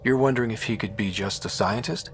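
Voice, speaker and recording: An actor playing a young, easygoing and wry explorer hero in a video game, with a husky voice. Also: male voice, lowish voice, fast talker, long reverb tail, faint music behind, lightly acted. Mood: gentle, reassuring.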